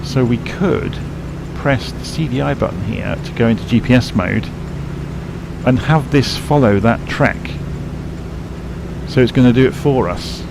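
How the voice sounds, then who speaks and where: A man streaming a flight simulator, explaining cockpit instruments in a rambling, self-correcting way, his voice croaky and tired from a late night. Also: mid-range voice, unhurried, noisy background. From England. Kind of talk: casual live-stream commentary.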